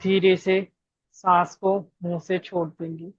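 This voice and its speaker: A woman leading a guided meditation, giving gentle instructions with long pauses between phrases. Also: fairly low pitch, flat delivery, no echo, lo-fi sound.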